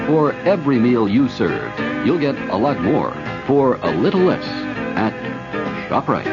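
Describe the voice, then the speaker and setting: A man's voice: medium pitch, huge pitch swings, a little slow. Upbeat male radio announcer. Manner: upbeat, salesy. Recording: dull old radio broadcast with loud music.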